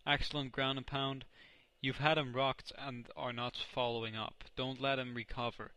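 A young man from the Netherlands with a Dutch accent, casually commentating his own video game match, excitable and often correcting himself. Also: mid-range voice, normal speed, no room sound. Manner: excitable, self-correcting, reacts loudly to plays. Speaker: gaming commentator. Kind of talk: casual let's play commentary.

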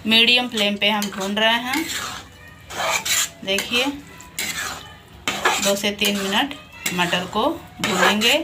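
A woman's voice: medium pitch, steady tone, slow, pauses often. A woman hosting a home cooking channel, explaining each step of a recipe in a patient, instructive voice-over. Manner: patient, repeats "dekhiye" to point things out. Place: home kitchen over a frying pan. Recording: loud frying noise under the voice. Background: loud sizzling and stirring of a pan.

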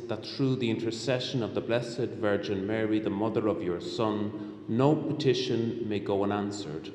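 A middle-aged man with a fairly low voice recites liturgy as a bishop. His delivery is solemn, formal and measured. The sound rings with long chapel reverb. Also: darkish tone. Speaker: bishop celebrating Mass. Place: large hospital chapel, indoors. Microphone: microphone on altar stand.

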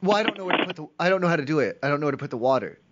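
Guy doing a prank call, kinda high voice, hesitant n rambling, slightly dull lofi audio. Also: lively intonation, rapid-fire, noisy background. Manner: hesitant, rambling, pleading, playing clueless. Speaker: prank caller. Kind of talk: improvised prank phone call.

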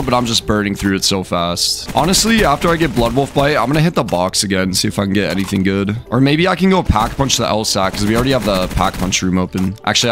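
A young American man with a slightly hoarse voice, giving casual, slangy gameplay commentary as the host of a gaming video. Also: on the low side, fairly bright tone. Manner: slangy, rambling, addresses viewers as boys. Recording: game music behind voice. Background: video game music.

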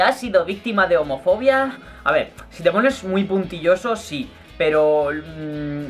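A young man with a light Murcian accent chats playfully and slightly high-pitched, answering questions. He speaks close to the camera in his bedroom, with faint music underneath. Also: a bit bright. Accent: Murcian, mostly held back on camera. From Murcia, Spain. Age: young adult. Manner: chatty, playful, gestures a lot, laughs easily. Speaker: YouTuber. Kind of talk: casual Q&A vlog. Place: bedroom, indoors.

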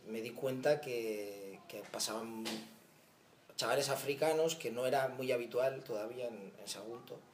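A man in his thirties with a Spanish accent, recounting in a documentary interview how he started helping migrants, thoughtful and halting with restarts. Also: mid-range voice, reverberant, slight background noise. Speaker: volunteer founder. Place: outdoor patio of a house.

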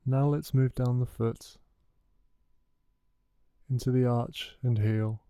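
A man guides a meditation in a very dark, mellow voice with a smooth tone. His delivery is soothing and unhurried, leaving gentle pauses between instructions. The sound is close and dry. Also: mid-range voice, fairly even intonation, no room sound. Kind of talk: guided body scan meditation.

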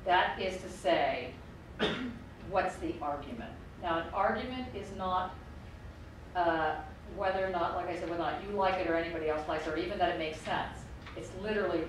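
A middle-aged woman giving a university lecture in a conversational, wry manner, circling back to her points. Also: fairly low pitch. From United States. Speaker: professor. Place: lecture room. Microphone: room mic far from speaker. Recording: echoey room, distant mic, background noise.

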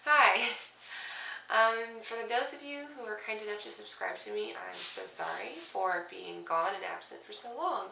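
A woman's voice: mid-range voice, huge pitch swings, a little slow, vocal fry, bright, forceful tone, slight background noise. A young woman vlogging casually at home, sounding nasal from a cold, chatty and apologetic, with lots of "um"s and little laughs. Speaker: craft vlogger. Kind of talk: casual chat to camera. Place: small room indoors at home. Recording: roomy, slightly distant camera audio.

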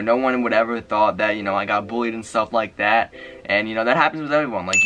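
Teenage guy rambling to his webcam. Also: sharp tone. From Maryland, USA. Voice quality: fairly high voice for his age. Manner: rambling, lots of "you know" and "like" fillers. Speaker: YouTuber. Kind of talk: casual confessional vlog. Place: bedroom with game shelves, indoors. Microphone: webcam, close facing camera.

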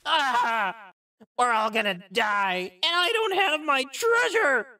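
A man's voice: very high voice, expressive, slow, pushed and bright, full character voice. A man voicing a grumpy, greedy, scheming cartoon creature in a comic dub, complaining indignantly. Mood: angry. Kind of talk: comic book dub acting.

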